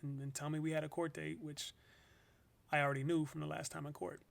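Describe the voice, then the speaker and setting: A man's voice: on the high side, flat delivery, gravelly. An actor playing an earnest young man who was wrongfully jailed, speaking conversationally in a first-person radio play testimony. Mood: subdued, weary. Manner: halting, conversational, with small repetitions.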